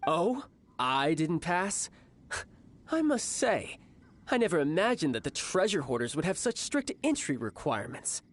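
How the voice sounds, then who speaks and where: A man's voice: fairly high pitch, sing-song, unhurried. An actor playing a smug, charming young male fighter in a video game, talking in a cool, teasing, self-assured way.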